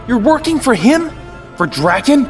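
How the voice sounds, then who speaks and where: A brash young male hero voiced by an actor in an audio drama, high-pitched and animated. He sounds away from the mic. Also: a little slow, far from the mic, soft background music, full character voice. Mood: alarmed. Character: brash, jumpy young male Power Ranger sidekick.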